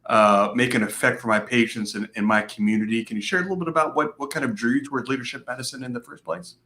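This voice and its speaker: A man moderating an interview in a casual, conversational way, somewhat halting as he frames his questions. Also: medium pitch, fairly even intonation, bright, forceful tone, a little hiss or hum.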